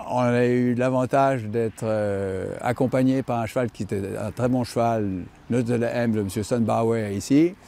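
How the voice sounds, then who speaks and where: An older man who owns and breeds horses, answering interview questions with many pauses and restarts. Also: mid-range voice, warm tone, a little hiss or hum. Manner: hesitant, many fillers and restarts. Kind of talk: interview reply.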